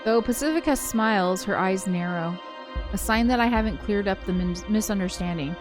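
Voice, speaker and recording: A woman reading a visual novel aloud as a let's play narrator, in an expressive first-person storytelling manner. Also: mid-range voice, moderate pace. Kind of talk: live read-aloud of a story game, with casual asides. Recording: music behind the voice. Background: game soundtrack music.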